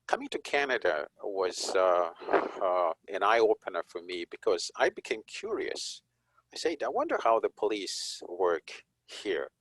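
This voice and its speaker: A man from Zimbabwe, a police chaplain and guest, talking in an unhurried, reflective way with frequent chuckles. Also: deep voice, very animated, fairly bright tone, no echo, rough recording. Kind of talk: conversational interview.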